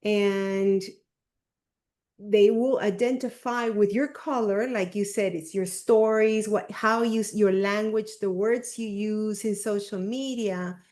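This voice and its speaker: A woman's voice: mid-range voice, steady tone, slow pace, darkish tone. A woman with a Spanish accent hosting a small coaching group on a video call, encouraging and repeating her points with many fillers. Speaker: discussion group host.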